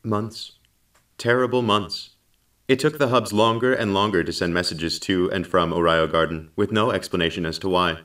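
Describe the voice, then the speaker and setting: A man acting as a calm, precise male artificial intelligence in a science fiction audio drama, speaking formally and with composure. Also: low voice, normal speed, recorded from a distance. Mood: somber.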